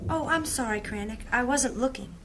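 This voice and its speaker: A woman playing a troubled, gentle amnesiac searching her memory in a fantasy audio drama. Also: on the high side, normal speed, slight background noise. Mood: apologetic.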